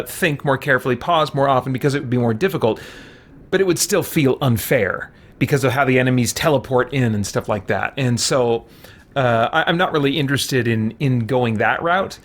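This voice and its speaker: A man's voice: medium pitch, fairly bright tone. A man hosting a let's play, giving casual commentary in a reflective, hesitant way.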